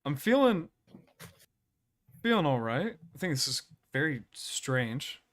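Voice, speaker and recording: A man's voice: a little high, very animated, slow, fairly bright tone. Sleepy guy rambling on a call, um and uh everywhere. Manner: hesitant, rambling, lots of fillers. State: groggy. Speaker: panelist. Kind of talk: casual online panel chat.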